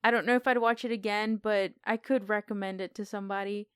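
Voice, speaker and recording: A woman's voice: medium pitch, fairly even intonation, fast talker, clean tone, no room sound, studio quality. A woman co-hosting a podcast, giving film opinions in casual, unscripted conversation. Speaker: podcast co-host. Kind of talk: casual film review chat.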